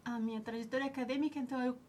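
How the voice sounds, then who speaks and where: Woman, 40s, Ecuadorian Spanish accent, native Spanish speaker, reflective, restarts while searching for words, fairly high voice. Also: steady tone, rapid-fire, darkish tone, a little hiss or hum. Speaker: nutritionist, alumna guest. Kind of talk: reminiscing interview answers.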